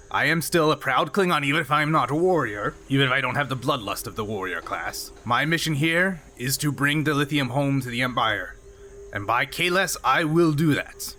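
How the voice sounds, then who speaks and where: A man's voice: mid-range voice, expressive, normal speed, sharp tone, slight background noise. A man voicing a jolly, proud older Klingon mine boss in a tabletop game, speaking in a blustery, emphatic way. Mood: stern, proud.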